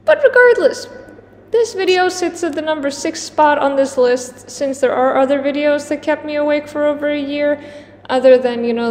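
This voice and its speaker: A woman giving casual countdown commentary to camera, conversational and a little hesitant, with dramatic emphasis on certain words. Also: high voice. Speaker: host of a countdown video. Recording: echoey reverberant room, clear background noise.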